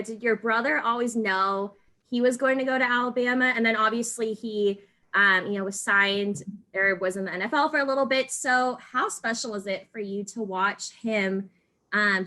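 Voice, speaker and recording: A woman's voice: fairly high pitch, pushed and bright. A woman hosting a podcast, asking casual, chatty questions in a loosely phrased way. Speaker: podcast host. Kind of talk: casual interviewing.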